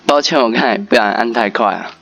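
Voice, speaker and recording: A man's voice: medium pitch, fast, vocal fry, a bit bright. A man giving casual game commentary and reading story text aloud. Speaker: gaming video host. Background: game music.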